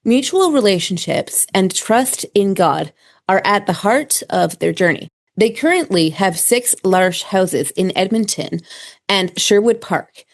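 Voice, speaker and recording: A woman radio host giving a scripted introduction and asking her guest questions. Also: mid-range voice. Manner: reads from a prepared script.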